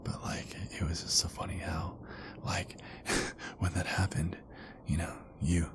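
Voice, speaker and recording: A man playing a big, affectionate werewolf boyfriend in an intimate ASMR roleplay, murmuring hesitantly and often slipping into breathy whispers. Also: low-pitched, level tone, a little slow. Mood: amused, reminiscing. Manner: hesitant, rambling, murmured pillow talk. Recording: clearly noisy background ambience.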